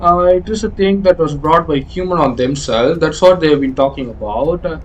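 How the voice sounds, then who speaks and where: Higher-pitched man, halting game commentary. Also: low-quality recording. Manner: explanatory, halting, hesitant. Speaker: gaming video creator.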